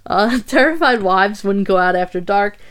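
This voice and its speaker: A woman's voice: medium pitch, animated, normal speed, fairly bright tone, clear, resonant tone. A young woman hosting a podcast, casually reading a true crime story from her notes with swearing asides.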